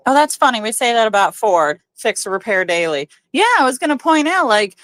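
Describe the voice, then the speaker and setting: A woman's voice: a little high, sing-song, a little fast, a bit bright, no room sound. An American woman in a casual chat, talking freely with lots of "you know" fillers. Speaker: guest speaker.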